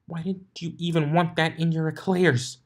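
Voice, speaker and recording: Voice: medium pitch, level tone, normal speed, pitched above the performer's own voice, close to an everyday voice. A storyteller voicing a flustered, scolding female unicorn librarian, exasperated, anxious and earnest.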